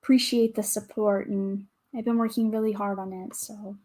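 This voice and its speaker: A woman with a calm, soothing voice, chatting casually with viewers as a livestream host, greeting and thanking them as she goes. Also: mid-range voice, normal speed. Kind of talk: casual livestream chat while building.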